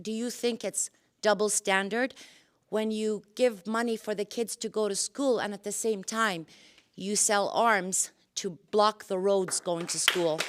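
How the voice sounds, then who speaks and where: Woman in the crowd with a big voice, firing pointed questions at candidates. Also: mid-range voice. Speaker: audience member asking questions. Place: mosque hall.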